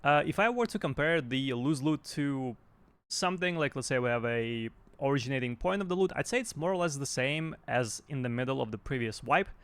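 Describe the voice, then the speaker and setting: A man talking casually and off the cuff as a gaming news host, in a hesitant, halting way. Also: medium pitch, expressive, fairly bright tone, clean tone. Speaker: YouTube game news host.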